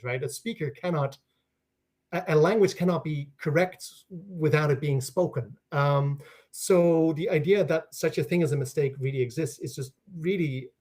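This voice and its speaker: A man from the Netherlands whose first language is Dutch, with a voice a little higher than most men's. He speaks as a guest scholar explaining ideas in conversation. He often restarts a phrase and checks in with a "right?". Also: a little mellow.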